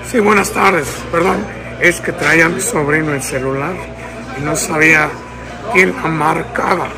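A man's voice: a little high, vocal fry. A man putting on a boozy, courteous old-time male crooner, drawling politely and sometimes crooning, in a prank phone call. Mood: courteous, naive. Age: young adult. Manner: slow, drawling, polite, breaking into song. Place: large public lounge indoors. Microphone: camera at arm's length. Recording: music playing behind.

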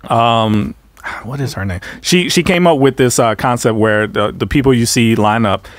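An American man talks casually as a podcast host, with a medium-pitched voice. The sound is dry and close to the mic, like a small studio panel discussion. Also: normal speed, dry recording. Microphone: podcast microphone on arm, close.

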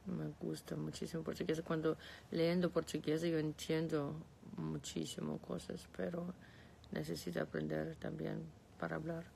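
A woman's voice: sits low, moderate pace, gravelly, slight background noise, poor audio. A woman in her thirties from Russia, speaking with a Russian accent as she answers viewer comments on a casual live stream from her bed. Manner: relaxed, lying back, reading comments aloud and answering. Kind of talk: casual live chat, switching between languages. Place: bedroom, lying against pillows indoors. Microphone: phone held close.

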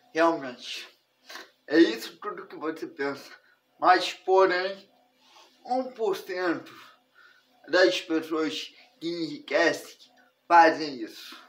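A Brazilian man in his thirties teaching an online lesson to camera, speaking haltingly in short phrases. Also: on the high side, rough recording. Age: 30s. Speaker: personal finance instructor. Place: indoors in a furnished room at home.

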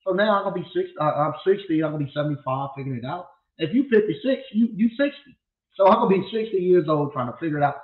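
A man's voice: fairly high pitch, lively intonation, fast talker. Blunt rambling man in his fifties. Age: 50s. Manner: loose, rambling and blunt, with repeated restarts. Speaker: appliance repair instructor and channel host. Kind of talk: casual livestream talk. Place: small home studio with green walls. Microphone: desk mic on a boom arm. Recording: echoey room, tinny phone-like sound.

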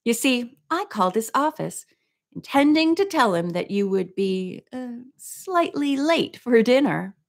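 Storybook villain, male, played by a woman: mocking, taunting, contemptuous, with a gravelly creak. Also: a little high, big pitch swings, a little slow, pitched above the performer's own voice. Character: mocking, sneering male villain posing as a servant.

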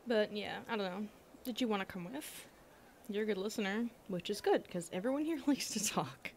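A woman's voice: mid-range voice, animated, normal speed, darkish tone, slight background noise, close to an everyday voice. A woman playing a brash, friendly young goth college girl with a casual, teasing manner in an ASMR roleplay. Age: young adult. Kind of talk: ASMR roleplay audio drama.

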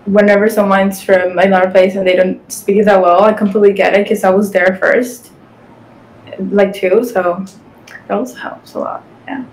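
A young woman whose first language is Spanish, speaking English with a Spanish accent as an interview guest, chatty and quick with lots of "like" fillers, on a video call from home. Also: mid-range voice, flat delivery, darkish tone, poor audio. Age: young adult. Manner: chatty, fast, many "like" fillers. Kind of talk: casual interview chat. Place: home room with city windows.

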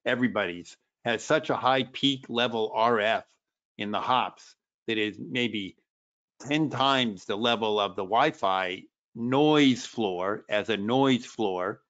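A man's voice: fairly low pitch, slow, fairly bright tone, dull audio. A man co-hosting a webinar, casually asking and talking about technical matters over a video call. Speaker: webinar co-host.

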